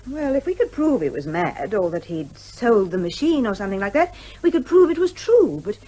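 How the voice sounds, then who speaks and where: A woman's voice: medium pitch, very animated, moderate pace, dark tone, poor audio. An actress playing a composed young British woman solicitor, patient, precise and earnest, in a radio comedy drama. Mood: businesslike.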